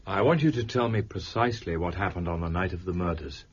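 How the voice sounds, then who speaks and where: An actor playing a coolly superior detective, measured, dry and authoritative, in a radio drama. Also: male voice, low-pitched, slightly rough, dull audio. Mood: cool questioning.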